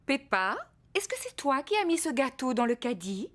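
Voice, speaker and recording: A woman voicing a kind, patient mother pig in a children's cartoon, with a gentle, reassuring manner. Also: high-pitched, very animated, normal speed. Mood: gentle, motherly. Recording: music behind the voice.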